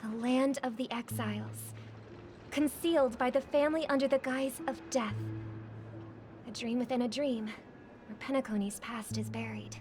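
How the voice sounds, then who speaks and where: A woman playing an earnest, graceful young singer in a video game, speaking in a measured, formal and gentle way. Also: fairly high pitch, steady tone, natural voice. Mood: grave, reflective. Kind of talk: scripted video game voice acting. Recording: music behind the voice.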